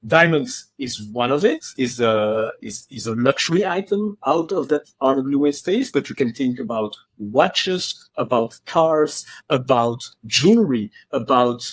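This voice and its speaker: A man's voice: medium pitch, lively intonation, unhurried, few pauses, no echo. A man from Belgium with a French accent, speaking as a podcast guest in a casual, hesitant way with many fillers. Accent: French-accented English. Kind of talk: casual interview.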